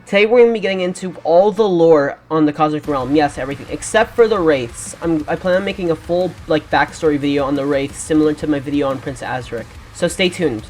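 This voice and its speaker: A woman with a low, deep-set voice walks through game lore in a loose, chatty way, full of fillers and restarts. Her throat sounds rough from a lingering cold. A faint hiss sits under the recording. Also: brisk, few pauses, darkish tone. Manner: rambling, with many "like" fillers and self-corrections. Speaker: game lore video narrator. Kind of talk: casual lore narration over gameplay.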